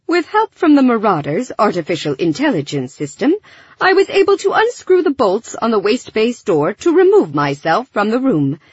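A woman's voice: on the high side, huge pitch swings, normal speed, breathy edge, dry recording, dull audio, voice pushed up, heavily acted. A woman voicing a literal-minded male robot in an audiobook, speaking in a stiff, precise, wordy way. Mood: earnest, formal.

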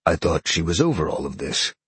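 Guy playing a growly lion shifter in an audiobook, low gravelly voice, blunt and impatient. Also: big pitch swings, brisk, a bit bright, no echo, heavily acted. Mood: grumbling. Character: gruff, impatient male lion shapeshifter. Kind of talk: audiobook character acting.

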